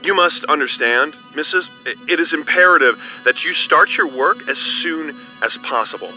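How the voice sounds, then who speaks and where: An actor playing a formal, insistent agency caller in a video game, polite but pressing. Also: male voice, fairly high pitch, moderate pace, sharp tone, faint music behind, low-quality recording. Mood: stern, insistent. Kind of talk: naturalistic video game acting.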